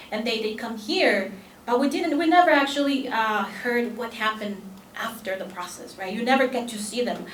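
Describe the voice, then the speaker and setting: A woman's voice: on the high side, slight background noise. A woman from El Salvador with a Salvadoran Spanish accent speaks on a conference panel, earnest, with many fillers and restarts. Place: conference room. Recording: echoey conference room from a distance.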